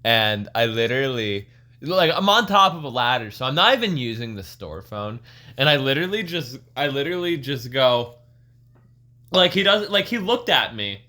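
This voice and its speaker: A young man in his twenties co-hosting a casual podcast, laid-back and swearing often, getting animated when he tells stories. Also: medium pitch, moderate pace. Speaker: podcast co-host.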